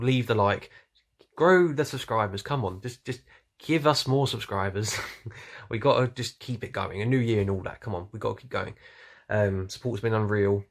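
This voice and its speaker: Rambling man giving a casual review. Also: on the low side. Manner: rambling, loose, often trails off and self-corrects. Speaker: YouTube reviewer. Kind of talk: casual unscripted audio drama review.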